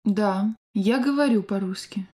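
Female course voice, soft dark tone, careful even delivery for drill prompts and answers. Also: mid-range voice. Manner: clear, deliberate, evenly paced. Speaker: language course speaker. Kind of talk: scripted language drill reading.

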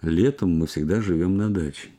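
Casual: deep mellow guy reading language drills, slow and super clearly spoken. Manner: slow, careful, clearly enunciated. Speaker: language course reader.

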